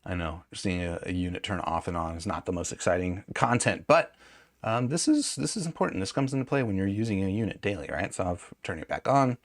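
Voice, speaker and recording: A man's voice: deep voice, lively intonation, fairly quick, no room sound, clean studio sound. A man giving a casual hands-on product review, rambling and conversational as he thinks aloud. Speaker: gadget reviewer.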